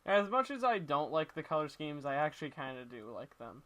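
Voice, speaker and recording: A man's voice: a little high, big pitch swings, brisk, fairly bright tone. A man co-hosting a casual podcast, hedging his points with lots of "like" and "I mean". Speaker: podcast co-host.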